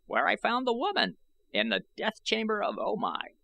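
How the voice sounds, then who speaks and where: A man voicing a boastful, cackling old Martian in a shrill voice, with old-fashioned taunting speech, in an audiobook. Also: huge pitch swings, moderate pace, vocal fry, bright, forceful tone, heavily acted. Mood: defiant.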